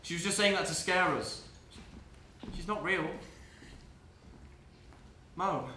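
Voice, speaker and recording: A man's voice: sits high, slow pace, sharp tone, full character voice. A man playing a nervous, doubting underling in a live stage play, insistent and repeating himself. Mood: nervous denial. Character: nervous, doubting male underling scared by a legend. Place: indoor stage, recorded live. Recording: echoey, distant, noisy live theatre recording.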